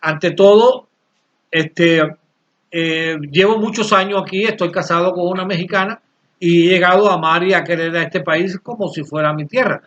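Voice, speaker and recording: Guy late 60s, fairly high voice, talks slow and earnest, keeps restarting words. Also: a bit bright, highs cut off. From Cuba. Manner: halting, repeats words while searching, earnest. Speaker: channel creator, interviewee. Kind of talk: unscripted interview answers.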